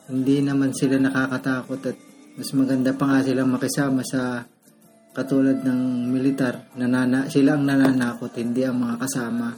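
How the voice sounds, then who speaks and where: A man's voice: medium pitch, completely flat delivery, moderate pace, darkish tone, faint music behind. A man with a Filipino accent giving a personal testimony.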